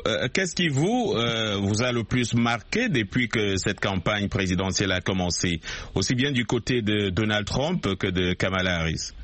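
Male radio host, measured questions, dry studio sound. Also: on the low side, expressive, a bit bright, no echo. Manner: measured, asks questions with fillers. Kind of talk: radio interview hosting.

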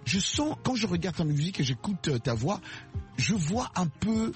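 A radio host from Congo interviews a singer, halting and filling gaps with "euh". His voice moves up and down in pitch. Soft music plays faintly behind him. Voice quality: animated, hesitant with many fillers. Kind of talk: radio interview and show presenting.

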